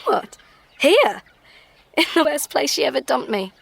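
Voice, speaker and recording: An actress playing a surly, bored teenage daughter, petulant and scornful, in a radio comedy drama. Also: female voice, high voice, sing-song, measured pace, creaky, exaggerated voice. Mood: bitter.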